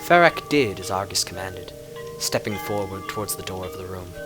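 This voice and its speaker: Man, low pitch, dramatic lore narration, theatrical emphasis, deliberate phrasing, background music. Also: expressive. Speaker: narrator reading lore books. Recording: music behind the voice.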